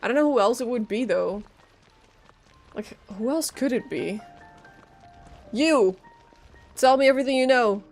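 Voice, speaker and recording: A woman's voice: a little high, unhurried, pauses often, darkish tone, faint music behind. A woman live-streaming a game, giving informal, casual reactions and commentary with some swearing.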